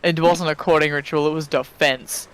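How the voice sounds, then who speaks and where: A man chatting casually on a game stream, telling stories in an animated way and acting out the exchanges. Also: fairly high pitch, moderate pace, clean tone. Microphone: online voice chat.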